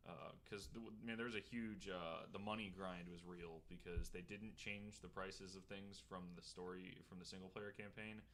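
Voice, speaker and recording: Deep, gravelly, quick-talking man. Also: fairly even intonation, a bit bright, slight background noise. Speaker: podcast co-host. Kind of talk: casual chat.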